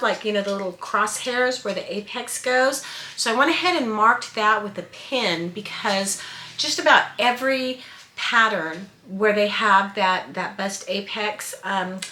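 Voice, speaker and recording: A woman in her sixties with a stuffy nose and sore throat, chatting excitedly and casually to camera as a sewing vlogger in her sewing room. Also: mid-range voice. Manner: chatty, excitable, many fillers. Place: home sewing room, indoors. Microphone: camera at desk distance. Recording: echoey room.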